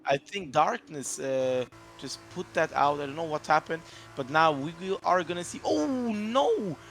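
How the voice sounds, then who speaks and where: A man's voice: a little high, lively intonation, measured pace, gravelly, fairly bright tone, faint music behind. Hesitant race co-commentator, man. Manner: hesitant, many uh fillers, searches for words. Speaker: sim racing co-commentator.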